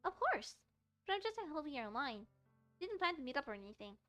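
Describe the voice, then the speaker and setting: A woman puts on a sweet, subdued teenage girl from a visual novel. Her voice is very high and girlish, and she speaks quickly and lightly. Faint music plays behind her. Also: sing-song, fairly bright tone. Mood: matter-of-fact. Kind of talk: visual novel character read-aloud.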